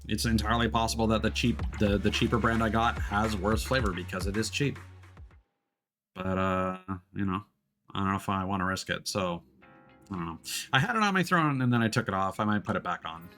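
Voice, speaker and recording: A man's voice: fairly low pitch, a little fast, fairly bright tone. A man giving casual live-stream game commentary, talking in a hesitant, rambling way. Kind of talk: casual gameplay commentary, reading game dialogue aloud. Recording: music behind the voice. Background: game music.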